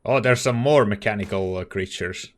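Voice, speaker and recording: A man's voice: on the low side, normal speed, slight background noise. A man giving casual let's play commentary, reacting to the game in hesitant, muttered asides.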